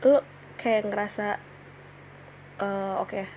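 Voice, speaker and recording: A woman's voice: medium pitch, fairly even intonation, slow pace, dark tone, slight background noise. A woman in her twenties hosting her own casual solo podcast, chatting in slang with many fillers and restarts. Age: 20s. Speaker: podcast host.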